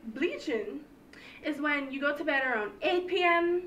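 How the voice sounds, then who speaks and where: A woman's voice: high-pitched, expressive, a little slow, a bit bright, recorded from a distance, dull audio, faint music behind. A young woman performing a monologue in a matter-of-fact way. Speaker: student performer. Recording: echoey room from afar.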